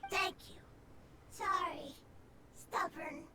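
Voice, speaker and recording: Casting: tiny simple game critter, squeaky, slow, clipped phrases. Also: female voice, fairly even intonation, background noise, exaggerated voice. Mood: meek, sincere. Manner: halting, clipped broken phrases. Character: small, simple fungus creature with broken speech. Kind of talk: scripted video game voice acting.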